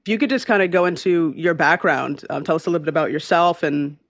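A man co-hosts a podcast in a casual, rambling way, his fairly high voice carrying some gravelly fry. The recording is dry and close, with faint music underneath. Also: fast, fairly bright tone, no echo. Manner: casual, lots of fillers and restarts. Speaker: podcast co-host.

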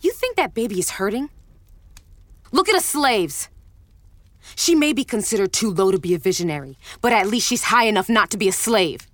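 A woman's voice: medium pitch, lively intonation, normal speed, fairly bright tone, no echo, natural voice. An actress playing a defiant, challenging enslaved woman plotting rebellion in a fantasy audio drama. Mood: defiant, bitter. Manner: challenging, intense.

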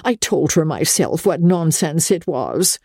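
Woman acting a husky, imperious old society matriarch. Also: sits low, sing-song, unhurried, a little mellow, no room sound, studio quality, full character voice. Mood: impatient scolding. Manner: brusque, scolding.

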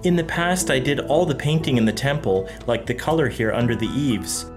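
A man with a middle-pitched voice delivers a plain, advisory voice-over in measured sentences. Loud music runs underneath. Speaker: voice-over translator for interviewees. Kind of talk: translated interview voice-over.

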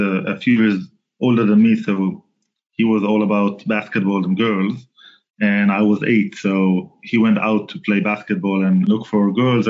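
A man in his forties from Israel, a company founder talking as a podcast guest, halting with many restarts and fillers. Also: lowish voice, highs cut off. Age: 40s. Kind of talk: casual interview talk.

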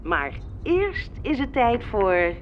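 Lively, scatterbrained radio host. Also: female voice, fairly high pitch, takes it slowly, slight background noise. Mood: gushing, scatterbrained. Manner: gushing, trailing off. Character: cosy, chatty, scatterbrained female radio show host. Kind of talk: comedic radio play, radio DJ patter. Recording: dull, band-limited radio sound. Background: music.

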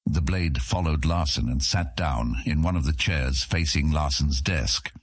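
A man's voice: rumbling low, unhurried, husky, no echo, clean studio sound, faint music behind. A man reading scripted dramatic narration for a fantasy audiobook.

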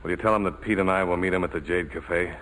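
An American actor playing a tough, loyal ex-bomber pilot in a 1940s radio drama, speaking in clipped, terse phrases. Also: male voice, low-pitched, fairly even intonation, fast, fairly bright tone, low-quality recording. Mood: grim, subdued. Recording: vintage 1940s radio broadcast.

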